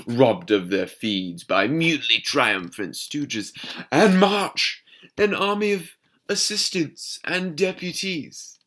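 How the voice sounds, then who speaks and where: A man's voice: fairly high pitch, a bit bright, pitched above the performer's own voice, full character voice. A young man playing a frustrated, overshadowed theatre critic in a dramatic stage monologue with a British accent. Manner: dramatic, deliberate delivery with emphatic swells. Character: frustrated, overshadowed second-string male theatre critic, ranting bitterly.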